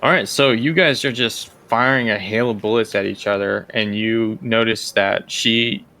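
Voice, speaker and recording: A man's voice: lowish voice, steady tone, moderate pace, sharp tone. A man working as game master, narrating casually and improvising as he goes, often thinking aloud.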